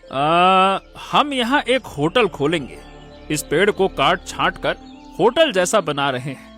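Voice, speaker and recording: A man voicing a determined, hardworking villager in a Hindi cartoon, speaking earnestly and deliberately. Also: sits high, animated, bright, forceful tone, soft background music, exaggerated voice. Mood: busy. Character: determined, hardworking male villager building a treetop eatery. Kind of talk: Hindi cartoon story dialogue.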